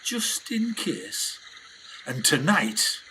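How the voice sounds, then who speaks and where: An actor playing a rough, indignant British scientist on a 1920s jungle expedition, speaking in emphatic slang for a radio play. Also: male voice, a little high, sing-song, extremely slow, bright, forceful tone, slight background noise, exaggerated voice. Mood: angry, resolved. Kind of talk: dramatic 1920s adventure radio acting.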